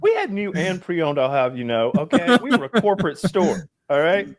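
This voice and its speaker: A man's voice: fairly high pitch, moderate pace. A man in his thirties co-hosting a podcast, telling stories animatedly and laughing often. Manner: animated storyteller, laughs a lot. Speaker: podcast co-host. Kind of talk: casual comedic chat.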